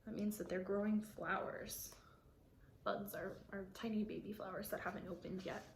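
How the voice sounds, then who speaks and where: A woman reading a picture book aloud to children, expressive, with explanatory asides for young listeners. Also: medium pitch, moderate pace, echoey room, a little hiss or hum. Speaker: read-aloud storyteller.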